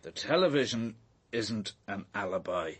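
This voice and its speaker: A man's voice: lowish voice, expressive, measured pace, breathy edge, no echo. An actor playing a bitter, defiant father under police questioning in a radio drama, curt and brusque. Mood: guarded.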